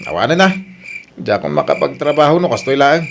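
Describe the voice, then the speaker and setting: A man's voice: on the high side, sing-song, fast talker, vocal fry, a little hiss or hum. A man with an Ilocano Filipino accent acting a plain-spoken, grumbling husband and uncle in a radio drama. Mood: pained, complaining.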